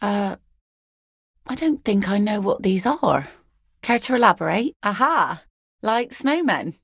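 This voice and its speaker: Blunt fisherwoman in a game, gravelly low voice, dry close sound. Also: very animated, a little slow, fairly bright tone, dry recording, exaggerated voice. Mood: dry, wry. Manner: dry, wry and plain-spoken. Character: weathered woman net picker, blunt and dry. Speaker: fisherwoman and mother. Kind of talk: video game voice acting.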